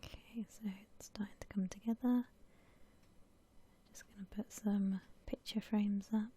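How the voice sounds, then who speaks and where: A woman hosts an ASMR gaming session in a very breathy whisper. Her tone is soft and mellow, and she takes her time, gently thinking out loud as she plays. The delivery stays hushed and unhurried. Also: mid-range voice, steady tone. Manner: gentle, unhurried, musing aloud. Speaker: host of a gaming ASMR channel. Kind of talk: whispered ASMR game commentary.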